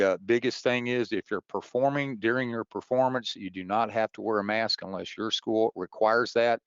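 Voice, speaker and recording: A man with a very low voice gives an informal briefing as a webinar host. He restarts and rephrases as he explains. The sound is roomy and somewhat dull. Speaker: webinar host and contest official.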